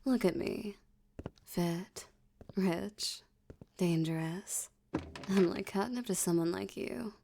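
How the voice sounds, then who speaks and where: A woman playing a seductive, menacing mafia boss in an ASMR roleplay, teasing and often slipping into a breathy whisper. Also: mid-range voice, noisy background. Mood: flirtatious, teasing. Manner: teasing, slow, velvety, threatening. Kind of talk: ASMR roleplay audio drama.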